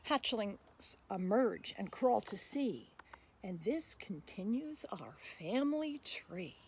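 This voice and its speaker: Earnest mighty sea turtle voiced by a woman, animated. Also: mid-range voice, takes it slowly, slight background noise. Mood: earnest, playful. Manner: emphatic rhyming storybook delivery.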